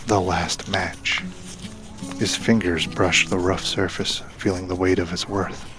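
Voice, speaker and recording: A man narrating a dramatic scripted story in a measured, solemn way, with phrases sometimes falling hushed and breathy. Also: sits low, flat delivery. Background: background music.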